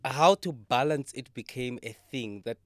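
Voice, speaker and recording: A man from Zimbabwe, a talent agent and podcast guest, telling his story in a relaxed way and dropping in isiZulu words. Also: medium pitch, normal speed, no room sound. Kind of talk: casual podcast interview.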